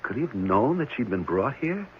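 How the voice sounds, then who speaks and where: A man playing an earnest, searching questioner in a 1970s radio drama, probing in a halting way. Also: mid-range voice, very animated, close to an everyday voice. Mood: troubled probing. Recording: muffled, noisy 1970s radio recording.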